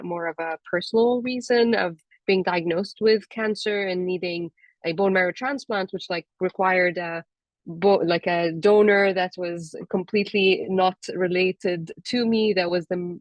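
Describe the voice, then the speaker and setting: A woman's voice: lowish voice, no echo, poor audio. A woman from Jordan who is a little sick, talking informally and chattily about her career as a panelist. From Amman, Jordan. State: sick with a cold-like illness. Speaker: panelist, cancer genetic counselor.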